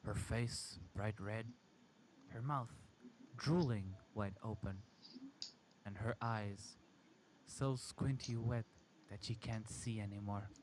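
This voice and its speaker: A man reading a first-person horror story aloud in a halting, dramatic way. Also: lowish voice, noisy background. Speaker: amateur story reader.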